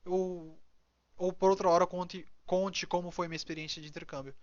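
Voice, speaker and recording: High-voiced young Brazilian man, rambling. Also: level tone, moderate pace, no echo, dull audio. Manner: rambling, many restarts and fillers. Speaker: vlogger. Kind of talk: casual advice monologue. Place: indoors.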